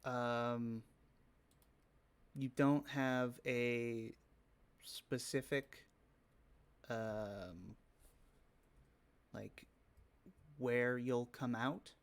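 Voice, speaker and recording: A man running a tabletop game as game master, explaining the rules and teasing the players in a deadpan way. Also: medium pitch, clean tone. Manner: slow, deadpan, dry teasing.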